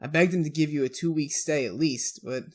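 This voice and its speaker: A woman playing a weary, earnest defender of a condemned friend in a 1940s-style radio drama, halting and subdued. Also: sits low. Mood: anguished, regretful.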